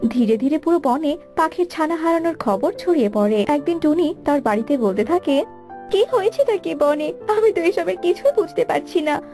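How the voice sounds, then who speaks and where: A woman's voice: high-pitched, dark tone. A woman narrator reading a scripted children's fairy tale.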